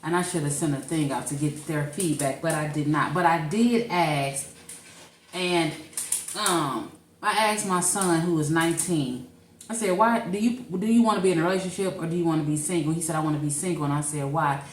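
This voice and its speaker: A woman's voice: deep voice, a little fast. A woman in her forties with a St. Louis accent, co-hosting a podcast in a chatty, rambling way. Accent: St. Louis, Missouri. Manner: chatty, rambling, laughs easily. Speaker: podcast co-host. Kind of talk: casual podcast chat. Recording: echoey room, off-mic, faint noise.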